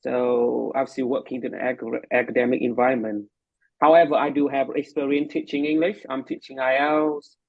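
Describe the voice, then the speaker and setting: A man's voice: mid-range voice, flat delivery, brisk, creaky, no echo, muffled recording. A man with a Vietnamese accent hosting a casual chat, speaking hesitantly with frequent fillers and restarts. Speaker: host, medical lecturer and English tutor. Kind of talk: casual conversational introduction.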